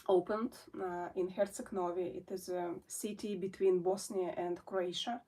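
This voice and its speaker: Slow, hesitant Russian-born woman in her forties. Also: mid-range voice, darkish tone. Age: 40s. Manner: hesitant, many fillers and restarts. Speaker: felting artist and channel host. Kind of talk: casual chat to camera. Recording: voice somewhat distant from the mic.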